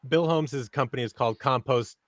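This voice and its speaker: A man's voice: medium pitch, brisk, no room sound, clean studio sound. An American man in his thirties chatting casually as a podcast guest. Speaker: podcast guest, audio drama creator. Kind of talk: casual chat. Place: small room at home, indoors. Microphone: studio mic on arm.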